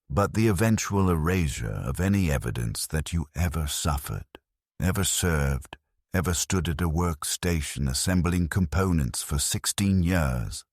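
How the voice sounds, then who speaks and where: Male story narrator, extremely deep and animated in pitch, ominous scripted delivery, close dry sound. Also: dry recording. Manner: grim, ominous, solemn. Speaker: narrator of a sci-fi lore story. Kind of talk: dramatic scripted first-person narration.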